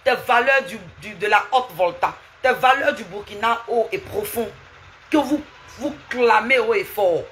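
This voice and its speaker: Forceful woman scolding on a livestream. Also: fairly high pitch, a little slow, a little hiss or hum. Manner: lecturing, repeats rhetorical questions. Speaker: live streamer. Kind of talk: scolding live-stream rant.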